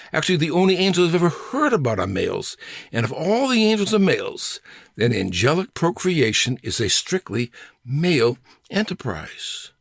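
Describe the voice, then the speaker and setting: A man reading his own humorous essay in a deliberate, deadpan manner. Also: medium pitch, vocal fry, dry recording, clean studio sound.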